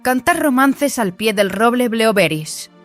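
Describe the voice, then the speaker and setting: A woman's voice: a little high, animated, a little slow, soft background music. A woman playing a proud, earnest young female apprentice in an audiobook, precise and slightly hesitant. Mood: focused. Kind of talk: dramatized audiobook acting.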